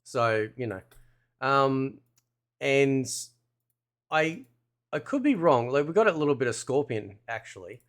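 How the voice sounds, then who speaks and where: A man's voice: mid-range voice, animated, unhurried, long pauses. A man giving casual, conversational gameplay commentary in a laid-back, slangy way, like a video game content creator.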